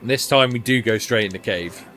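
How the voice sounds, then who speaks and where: A man's voice: fairly low pitch, normal speed, sharp tone, faint music behind. A man streaming a video game, chatting casually and playfully as he plays. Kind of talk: casual gameplay chat.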